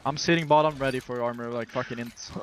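Male esports shot-caller, rapid and directive, repetitive callouts, some background noise. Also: medium pitch, steady tone. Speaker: esports player calling plays for the team. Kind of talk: rapid in-game tactical team comms. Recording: background noise, game sound.

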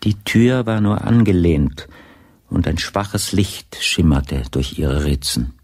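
A man with a gravelly, husky voice narrating a detective radio drama as a scripted storyteller. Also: sits low, lively intonation, slow, darkish tone, no echo, clean studio sound, exaggerated voice. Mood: measured.

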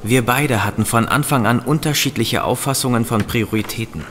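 An actor playing a greedy, arrogant banker's son, cold and sneering, in a German audio drama. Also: male voice, mid-range voice, moderate pace, a bit bright, a little hiss or hum, close to an everyday voice. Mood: scornful. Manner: haughty, sneering.

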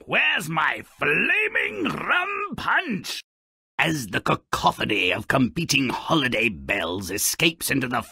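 A grubby, cynical imp narrating twisted fairy tales in a video game. The voice is raspy and harshly bright, drawn out at a slow, theatrical pace. The delivery is mocking and gleefully hammy. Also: male voice, medium pitch, dry recording, clean studio sound, heavily acted. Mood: gleefully scheming. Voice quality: gravelly, raspy.

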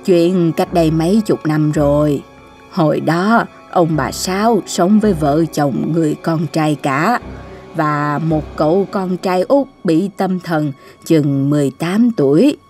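A woman's voice: low-pitched, a little slow, darkish tone. An older cartoon woman speaks. She is matter-of-fact and inclined to grumble and dismiss. Light music plays behind her. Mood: grave. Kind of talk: animated cartoon voice acting.

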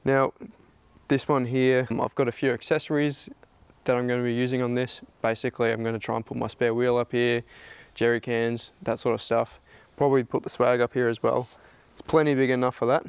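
A man's voice: medium pitch, brisk, clear, resonant tone. A man, chatting casually to camera about his car roof rack setup. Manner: relaxed, chatty, plain-spoken. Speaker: off-road vlogger. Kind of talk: casual product talk to camera. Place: outdoors in a backyard, then a plain indoor room.

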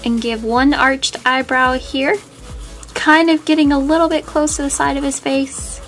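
A woman's voice: high voice, steady tone, normal speed, fairly bright tone, faint music behind. A woman hosting a step-by-step drawing tutorial, friendly and easygoing, a little sniffly from a cold. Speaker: art tutorial host.

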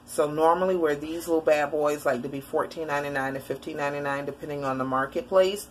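Woman, 40s, low voice, rambly and chatty, home webcam vlog, faint hiss behind. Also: steady tone, fast, poor audio. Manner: chatty, rambling, lots of fillers. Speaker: vlogger. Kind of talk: casual grocery haul chat. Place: indoor room at home, shelves behind.

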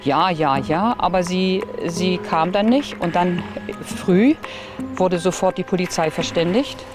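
An older woman, a former care worker, recalling a girl in an outdoor interview with halting restarts and tag questions. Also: on the low side, over music. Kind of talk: recorded interview. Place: outdoors in a courtyard.

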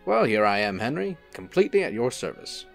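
Man playing a charming, creaky-voiced angel. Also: medium pitch, very animated, brisk, fairly bright tone, faint music behind. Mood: calm. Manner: smooth-mannered, reassuring, playful. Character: genial, unflappable, charming male angel. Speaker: actor. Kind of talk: radio-play style drama acting.

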